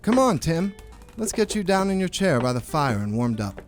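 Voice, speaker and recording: An actor playing a kindly, devoted father and humble clerk in a radio play, gentle and earnest. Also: fairly high pitch, sing-song, moderate pace, soft background music. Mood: tender.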